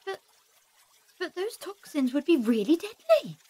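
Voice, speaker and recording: Woman playing a lively, flustered lab assistant. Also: extremely high pitch, measured pace, full character voice. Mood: matter-of-fact. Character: flustered, hesitant young female lab assistant. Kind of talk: fan audio drama acting.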